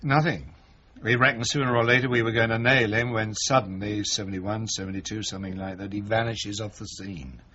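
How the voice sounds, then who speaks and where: An actor playing a blunt, seasoned British chief inspector in a radio drama, terse and world-weary, with a rough-edged voice. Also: male voice, lowish voice, fairly even intonation, fairly quick, sharp tone, a little hiss or hum. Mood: grimly reminiscing. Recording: dull, band-limited radio broadcast.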